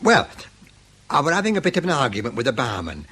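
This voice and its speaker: A man's voice: medium pitch, wildly swooping pitch, quick pace, bright, forceful tone, husky, full character voice. An actor playing a defensive, stubborn working-class man with a Northern English accent, blunt and evasive, in a radio drama. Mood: guarded.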